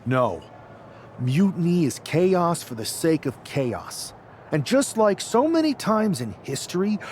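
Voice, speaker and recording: Earnest rebel wrestler, noisy background. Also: male voice, fairly high pitch. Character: ambitious male newcomer wrestler, scheming rebel leader. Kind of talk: scripted video game cutscene dialogue.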